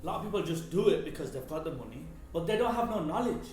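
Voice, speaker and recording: Chatty host in an echoey room. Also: male voice, fairly high pitch, lively intonation, fast talker, a little mellow. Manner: chatty, slangy, interjects often. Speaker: podcast host. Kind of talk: casual interview chat. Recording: very echoey room, faint music.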